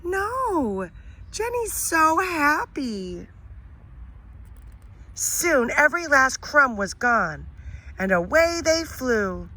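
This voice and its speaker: A woman teacher reading a children's picture book aloud, expressive and pausing to ask the listener questions. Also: high voice. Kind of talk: children's read-aloud story.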